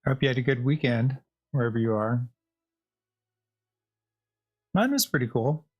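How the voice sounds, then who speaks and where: A man's voice: mid-range voice, a little slow, dull audio. A man hosting a game livestream, chatting casually and informally to viewers. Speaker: livestream host playing a game. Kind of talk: casual chat to stream viewers. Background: faint music.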